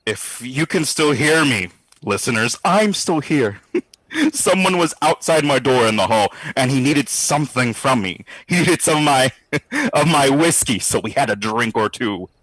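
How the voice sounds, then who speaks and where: An actor playing a brash, sardonic skeptic who talks in slangy, theatrical quips in an audio drama diary. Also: male voice, mid-range voice, expressive, unhurried, fairly bright tone, slightly rough, dry recording, rough recording. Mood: drunk, rambling. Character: brash wisecracking skeptical man, boozy ghost-study participant.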